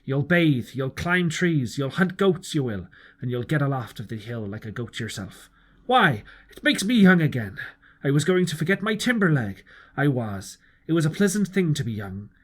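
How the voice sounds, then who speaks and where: A man voicing a wily old one-legged pirate ship's cook in an audiobook, falsely jolly, with folksy sailor talk. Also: on the high side. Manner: folksy, rustic sailor's turns of phrase.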